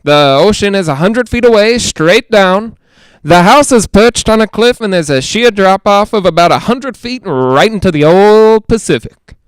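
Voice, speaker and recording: A man's voice: sits high, expressive, normal speed, clean tone, no echo. A man playing a chatty, skeptical radio ghost hunter in a 1940s-style audio drama, with folksy joking patter. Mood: chatty showman.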